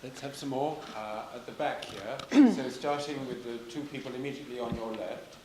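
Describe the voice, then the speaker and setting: A man chairing the event, briskly directing audience members to their questions. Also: medium pitch, a little mellow. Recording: roomy hall, crowd audible.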